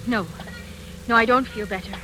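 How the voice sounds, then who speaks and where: A woman's voice: a little high, moderate pace, music in the background, close to an everyday voice. A young actress playing a frightened young woman who is held captive and pleads to be let go, in 1940s radio-drama style. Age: young adult. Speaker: Julia, the heroine.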